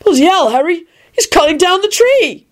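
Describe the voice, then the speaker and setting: A woman's voice: extremely high pitch, very animated, normal speed, pitched above the performer's own voice. Woman doing a whiny, screechy young wizard lad. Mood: panicked. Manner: screechy, howling complaints. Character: whiny, excitable, sulky young wizard man.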